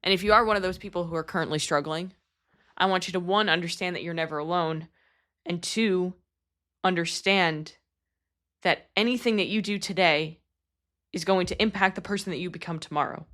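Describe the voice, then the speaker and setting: A woman's voice: lowish voice, brisk, fairly bright tone. A woman of about thirty hosting her own podcast, talking earnestly and candidly in a personal monologue with casual swearing. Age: 30s. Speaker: podcast host.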